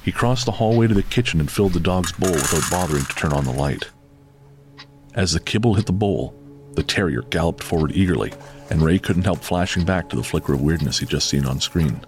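A man's voice: sits low, expressive, a little fast. A man reading scripted narration for a horror audio drama. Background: faint music.

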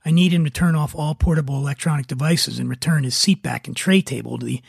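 A man's voice: a little high, fast talker, gravelly, breathy edge, no echo, clean studio sound. A man reading the part of an insistent, officious flight attendant in a formal manner for a script reading. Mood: firm. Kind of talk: dramatic table read of TV pilot.

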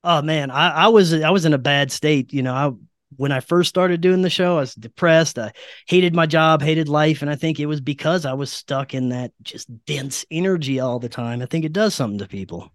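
Clear-voiced man, slightly higher pitched, chatting. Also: fairly quick, no room sound, clean studio sound. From Louisiana. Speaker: podcast guest and podcaster. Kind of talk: casual podcast conversation.

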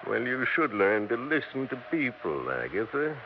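A man's voice: mid-range voice, big pitch swings, moderate pace. An actor playing a tired, wisecracking older traveling salesman who may be dead, in a 1960s radio drama. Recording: dull old radio broadcast with faint hiss.

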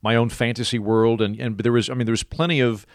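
Deadpan man from Oklahoma. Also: mid-range voice, fast talker, dry recording. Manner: dry, deadpan humor with rambling restarts. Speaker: interview guest. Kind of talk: casual conversational interview.

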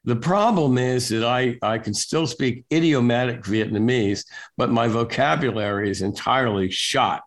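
An older man being interviewed as a guest, telling stories in a relaxed, wry way with lots of filler words. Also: medium pitch, normal speed. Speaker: guest, national security reporter. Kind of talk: casual storytelling interview.

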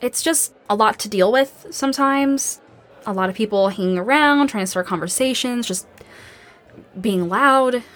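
A woman's voice: fairly high pitch, fairly bright tone, close to an everyday voice. Audio drama role, female actor: grieving young woman survivor, self-deprecating, hesitant, understated and natural. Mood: shy, awkward. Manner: hesitant, halting.

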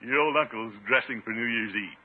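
An actor playing a pompous, excitable middle-aged uncle in a 1950s radio sitcom, blustery and self-satisfied. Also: male voice, medium pitch, brisk, lightly acted. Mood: smug, jolly. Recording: tinny, hissy 1950s radio recording.

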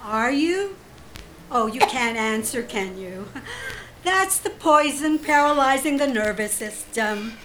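A genteel woman in an audio drama with a high, swooping voice. She speaks slowly and politely, with hesitant phrasing and an innocent sweetness that covers cool cunning. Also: animated, noisy background. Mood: mock concern. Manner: hesitant, polite, drawn-out delivery. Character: genteel, coolly devious woman feigning sweet innocence with a friend.

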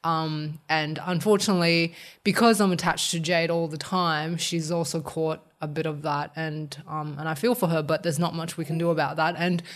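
A young woman, co-hosting a casual podcast, run down from illness and a bit raspy, chatting with lots of ums. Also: sits low, steady tone, normal speed. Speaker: podcast co-host. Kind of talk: casual chat.

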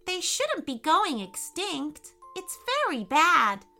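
A woman's voice: very high voice, wildly swooping pitch, slow, pushed and bright, faint music behind, voice pushed up, full character voice. Woman doing an eager little schoolgirl. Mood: earnest. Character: eager curious young schoolgirl.

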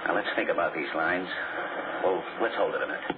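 An actor playing a tactful but dissatisfied director, halting and apologetic, in a 1940s radio drama. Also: male voice, low voice, lightly acted. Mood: tactful dissatisfaction. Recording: tinny, noisy 1940s radio recording.

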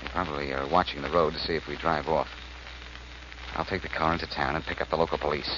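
An American man playing a quick-witted, commanding detective with a brisk, wisecracking manner in 1940s radio drama. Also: very deep voice, steady tone. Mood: confident, explaining. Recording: muffled, noisy old radio recording.

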